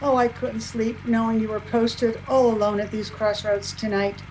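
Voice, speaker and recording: A woman's voice: on the high side, little pitch movement, moderate pace, a little mellow, slight background noise, close to an everyday voice, rough recording. A woman playing an anxious, grieving young sister in an old time radio drama, earnest and questioning, recorded over a video call. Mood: worried, tender. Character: worried, grief-stricken young woman, sister of a slain trooper.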